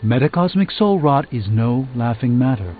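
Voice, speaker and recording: An actor playing a pompous, learned sorcerer in a video game, speaking in a grandiose, lecturing way. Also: male voice, mid-range voice, expressive, moderate pace, lightly acted. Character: pompous, theatrical, learned male sorcerer and mystic. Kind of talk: theatrical video game dialogue. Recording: thin phone-like band, music behind.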